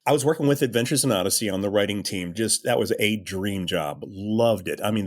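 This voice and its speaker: A man answering as an interview guest, conversational and enthusiastic, repeating phrases for emphasis. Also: lowish voice. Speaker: interview guest, audio drama creator.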